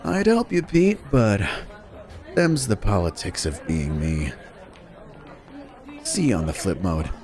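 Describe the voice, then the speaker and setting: A man's voice: lowish voice, sing-song, slow pace, long pauses, a little mellow, some chatter behind, heavily acted. A man voicing a cocky, laid-back rich teenage boy who talks in breezy slang. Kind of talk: fan dub voice acting.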